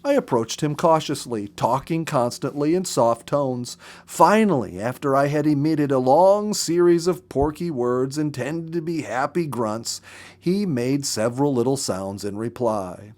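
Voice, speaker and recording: A man narrates a story in a medium-pitched voice. His delivery is expressive and deliberate, shaped for dramatic storytelling. He reads as a practised story reader would, with careful phrasing.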